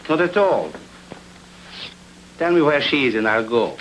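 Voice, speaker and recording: A man's voice: mid-range voice, big pitch swings, a little slow, a bit bright, slight background noise, rough recording. A man playing a suave, coolly menacing crook in a noir radio drama, polite, dry and controlled.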